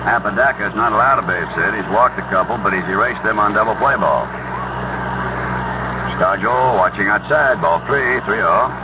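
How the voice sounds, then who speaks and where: A man doing live radio baseball play-by-play, conversational, with folksy asides between pitches. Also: moderate pace. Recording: noisy, muffled 1970s AM radio broadcast.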